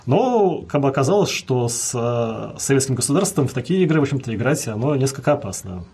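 A man's voice: mid-range voice, sing-song, brisk, gravelly, darkish tone, breathy edge. A man answering as a history guest, explaining at length in a lecturing way with many fillers.

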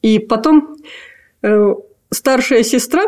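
A young woman from Kazakhstan with a mellow, slightly raised voice. She talks in a halting, thoughtful way, full of pauses and fillers, while answering an interviewer. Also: fairly high pitch. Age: young adult. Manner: hesitant, many fillers and pauses. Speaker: interviewee, PhD student. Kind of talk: casual conversation.

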